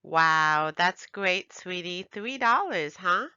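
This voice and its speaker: A woman voicing a caring, easily amazed mother in a children's storybook, expressive and gently encouraging. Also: lowish voice, slow, smooth, dry recording, lo-fi sound, heavily acted.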